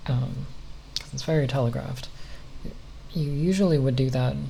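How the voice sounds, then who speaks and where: A soft-spoken ASMR creator rambling unscripted, halting and often pausing mid-phrase. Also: mid-range voice, gravelly, slight background noise, poor audio.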